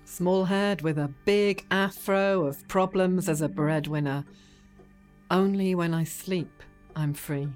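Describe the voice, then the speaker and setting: A woman's voice: fairly low pitch, fairly even intonation, takes it slowly, a little mellow, faint music behind. A woman speaking poetic lines in a radio play.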